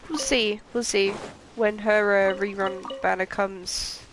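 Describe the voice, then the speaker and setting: Gravelly-voiced woman streaming a game. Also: mid-range voice, fairly even intonation, takes it slowly, a little hiss or hum, poor audio. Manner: chatty, rambling, swears mid-game. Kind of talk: casual gameplay commentary. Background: game music.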